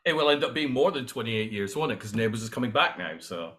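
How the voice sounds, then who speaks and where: A man with a hoarse voice hosts a casual chat with a cheerful, joking manner. His voice sits at an ordinary middle pitch. He is heard over a video call. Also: lively intonation, fast talker, pushed and bright. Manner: jokey, chatty and upbeat. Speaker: host.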